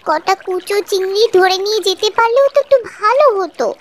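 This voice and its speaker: A woman's voice: very high voice, lively intonation, a little fast. A woman voicing a caring, dutiful young village girl in a cartoon, with a childlike, earnest manner. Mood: pensive, concerned. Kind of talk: Bengali cartoon voice acting. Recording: loud music behind the voice. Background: music.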